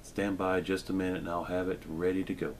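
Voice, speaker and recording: Relaxed man, low voice, noisy backyard. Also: brisk. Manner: relaxed, chatty, with frequent fillers. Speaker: gear reviewer. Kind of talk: casual show-and-tell demonstration. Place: indoors at a table, then in a backyard.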